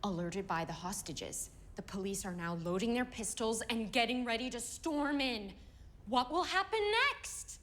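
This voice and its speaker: A woman's voice: fairly high pitch, moderate pace, a bit bright, close to an everyday voice. An actress playing an eager, anxious young woman of the 1920s, speaking in an earnest, hesitant way. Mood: dramatic storytelling. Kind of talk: television period drama acting.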